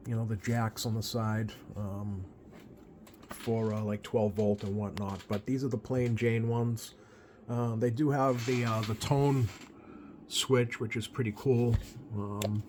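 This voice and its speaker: Casual American male hobbyist presenter, medium pitch, halting unscripted delivery, some background noise. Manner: hesitant, halting with long drawn pauses. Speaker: radio hobbyist presenting a video. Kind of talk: casual unscripted product walkthrough. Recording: clear steady background noise.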